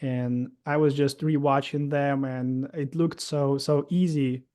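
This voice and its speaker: A man in his thirties from Russia with a Russian accent, telling his story as an interview guest in halting speech with many fillers and restarts. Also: mid-range voice, fairly even intonation, warm tone.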